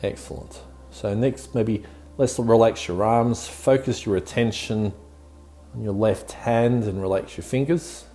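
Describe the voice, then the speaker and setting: Slow, low-voiced man guiding meditation. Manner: soothing, gently encouraging. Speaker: guided meditation host. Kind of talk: slow guided meditation instruction. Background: background music.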